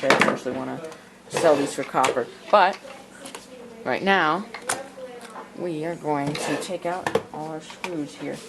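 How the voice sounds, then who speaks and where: A man with a creaky, gravelly voice talks casually through hands-on repair work. He is halting and mutters small asides, over clear background noise and clicks. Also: fairly high pitch. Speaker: radio repair hobbyist. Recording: clearly noisy workshop recording with clicks.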